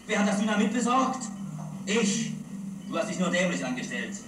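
An actor playing a greedy, scornful criminal accomplice in a radio crime play, demanding and contemptuous, heard on a distant noisy recording. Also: male voice, sits high, big pitch swings, moderate pace. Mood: scornful, angry. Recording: distant, echoey, noisy playback.

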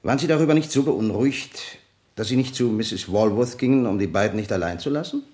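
An actor playing a probing, insistent police sergeant in a 1970s German radio crime drama, pressing with questions. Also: male voice, mid-range voice, expressive, fairly quick, a little mellow, close to an everyday voice. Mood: pressing, suspicious.

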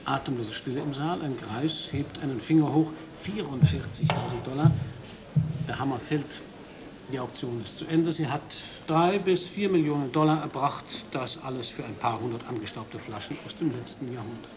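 An actor playing a radio correspondent in a radio play, narrating his report in a dry, measured, observant way and questioning a host. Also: medium pitch, crowd noise, close to an everyday voice, rough recording. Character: male foreign radio correspondent, wry observer.

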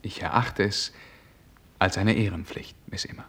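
An actor playing a courteous, naive young prince in a German radio play, speaking formally and earnestly with a hesitant, easily flustered manner. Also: male voice, low-pitched, moderate pace, fairly bright tone, breathy edge. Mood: stiffly courteous.

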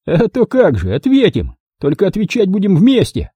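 A man's voice: high voice, huge pitch swings, normal speed, dark tone, husky, no echo, pitched above the performer's own voice, exaggerated voice. A man voicing a foul-mouthed brawling tough who bellows and mocks in an audiobook story. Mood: mocking threat. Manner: bellowing, cursing, mocking.

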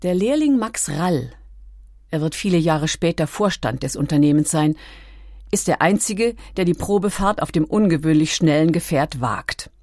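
A woman reading scripted documentary narration in a composed, clearly articulated manner. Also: low voice, expressive, normal speed, a little mellow, no room sound, studio quality. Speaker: audiobook narrator.

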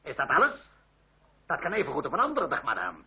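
Over a narrow, tinny old radio recording comes a gruff revolutionary official with a gravelly edge. He questions in curt, officious, sneering bursts, swinging widely in pitch. It is period radio drama. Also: huge pitch swings, fast, full character voice. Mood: curt, domineering. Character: gruff, suspicious male revolutionary district official.

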